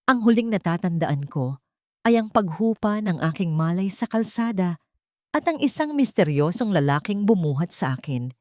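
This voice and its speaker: A woman's voice: mid-range voice, sing-song, normal speed, darkish tone, no room sound, clean studio sound. A woman reading a dramatic scripted story in the first person, as its narrator.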